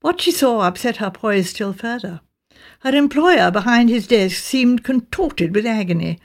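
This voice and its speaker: Woman, Received Pronunciation, audiobook narrator, fairly high pitch, gravelly creak, measured mystery narration. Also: expressive. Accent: British Received Pronunciation. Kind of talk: scripted narration of a mystery novel.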